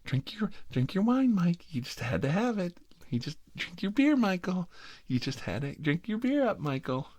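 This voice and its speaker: A man's voice: high-pitched, sing-song, normal speed, darkish tone, voice pushed up. A man voicing a nagging, needling elderly mother in an exaggerated, pestering way while telling a story.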